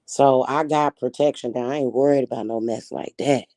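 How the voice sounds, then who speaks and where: A woman in her forties from Kansas City, with a Midwestern accent and a mellow, warm tone. She chats with viewers in a loose, slangy, outspoken way and often rambles on. The sound is dry and close, with little room reverb. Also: very deep voice, steady tone, moderate pace. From Kansas City, Missouri. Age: 40s. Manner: rambling, slangy, frank, answers viewers directly. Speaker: livestream host reading chat. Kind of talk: casual chat.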